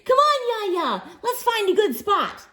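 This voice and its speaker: Woman as an earnest high-voiced young schoolgirl. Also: very animated, takes it slowly, pushed and bright, heavily acted. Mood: pleading, anxious. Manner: pleading, earnest. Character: earnest young schoolgirl, anxious and embarrassed by grandmother.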